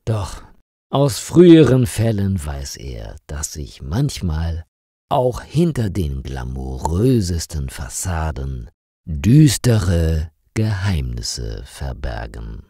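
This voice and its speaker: A man reading a crime story aloud as a narrator, with a clear, deliberate delivery meant for language learners. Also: very deep voice, very animated, warm tone, no echo, studio quality.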